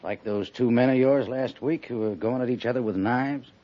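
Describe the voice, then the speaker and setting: An American man playing a brisk, stern but understanding army major in a 1950s radio drama. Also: medium pitch, close to an everyday voice. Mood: wry, stern. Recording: muffled 1950s radio recording.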